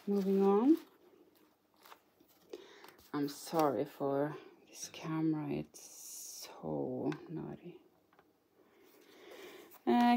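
Slow, mellow Norwegian woman showing crafts. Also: mid-range voice, gravelly, slight background noise. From Norway. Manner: chatty, hesitant, apologetic, giggles now and then. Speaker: craft channel host. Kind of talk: casual show-and-tell of a scrapbook album. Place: indoors at a craft table.

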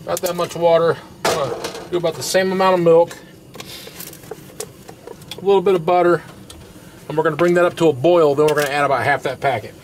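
Middle-aged guy talking thru camp cooking, kinda high voice, lots of outdoor noise behind him. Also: fairly even intonation, fairly quick, pauses often. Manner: folksy, talks through each step. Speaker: camping vlogger. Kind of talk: casual cooking narration. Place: outdoors at a truck camper camp stove. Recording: outdoor camera audio with clear background noise.

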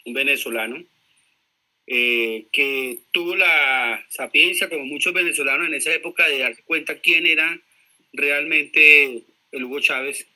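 A Colombian man in his thirties, calling into a live audio room to give his views earnestly, with frequent pauses and fillers. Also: on the high side, bright, forceful tone, low-quality recording. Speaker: caller. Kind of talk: casual political talk.

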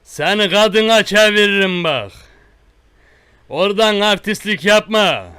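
Prank-call menace: man playing a boastful country tough guy, high bright voice, unhurried threats. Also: animated, smooth, no echo, exaggerated voice. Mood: menacing. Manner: slow drawn-out threats, repetitive. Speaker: prank caller. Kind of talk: one-sided prank call.

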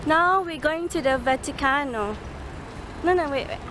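A woman's voice: sits high, moderate pace. A woman from Singapore chatting casually with strangers as she films a travel vlog, playful and speaking in short fragments. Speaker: travel vlogger. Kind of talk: casual chat with strangers while filming. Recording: loud traffic and street noise.

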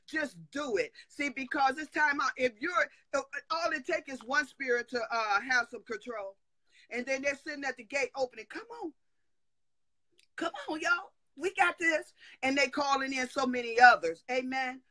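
A woman's voice: high-pitched, normal speed, sharp tone, no echo. A middle-aged woman hosting a live stream, preaching with emphatic declarations and call-and-response asides. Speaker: host of a live prophetic talk stream. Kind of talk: fiery preaching-style talk. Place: indoor room at home. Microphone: webcam on a live video call.

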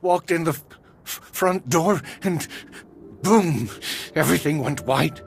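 A wounded, frightened townsman from a video game. He is dazed and in pain, stammering slowly over clear background music. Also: fairly high pitch. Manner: halting, stammering. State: groaning, in pain. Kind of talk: dramatic video game voice acting.

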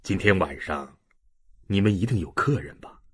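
A man voicing a dejected, evasive old man in an audiobook, speaking in a halting, subdued way. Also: sits low, animated, breathy edge, no room sound, clean studio sound, pitched below the performer's own voice, exaggerated voice. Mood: awkward, evasive. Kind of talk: audiobook character dialogue.

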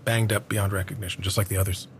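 A man acts out an older small-town local in an audio drama. His voice sits low and he tells things haltingly, in earnest. There is noticeable ambience behind him. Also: little pitch movement. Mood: grave, defensive. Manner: hesitant, halting storytelling. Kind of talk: naturalistic audio drama acting. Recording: clear background ambience noise.

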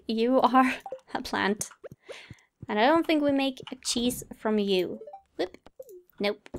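A woman's voice: a little high, slight background noise. A woman giving casual, polite gameplay commentary as a let's play host, chatting to herself and the game. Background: game music.

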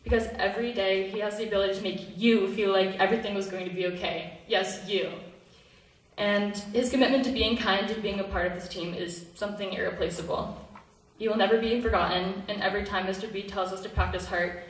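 A high school girl giving an earnest prepared tribute speech at a school concert, with some hesitant fillers. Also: steady tone, brisk. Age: teens. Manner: earnest, reading from notes with fillers. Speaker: student speaker. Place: school auditorium. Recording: echoey hall, voice from far off.

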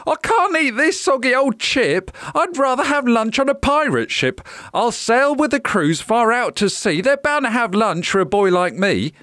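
A man's voice: very high voice, very animated, normal speed, hardly any pauses, a bit bright, no echo, clean studio sound, pitched above the performer's own voice, heavily acted. A man voicing a brave, fussy little storybook boy who is plucky and exclaiming. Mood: defiant.

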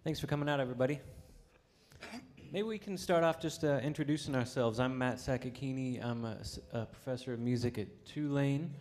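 A man's voice: mid-range voice, brisk, darkish tone, slight background noise. A man, a music professor, hosting an onstage interview in a friendly way with many fillers and restarts.

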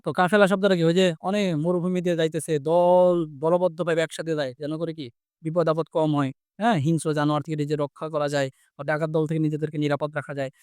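Higher-pitched Bangladeshi man teaching. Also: flat delivery, quick pace, a little mellow, smooth, no room sound, clean studio sound. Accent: Bangladeshi Bengali. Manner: conversational, repeats phrases and asks check questions. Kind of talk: interactive vocabulary lesson, explaining and quizzing.

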